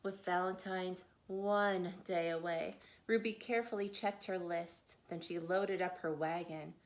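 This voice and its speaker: Slow, gentle woman reading a picture book. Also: lowish voice, fairly even intonation. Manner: slow, gentle, rhyming storytelling cadence. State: tired. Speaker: mother reading aloud for children's classes. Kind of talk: picture-book read-aloud.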